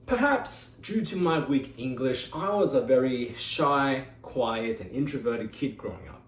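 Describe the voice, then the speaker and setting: Man in his twenties giving a club speech. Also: mid-range voice. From Australia. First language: Mandarin Chinese. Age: 20s. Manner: expressive storytelling with dramatic pauses. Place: meeting room. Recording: echoey room, mic at a distance.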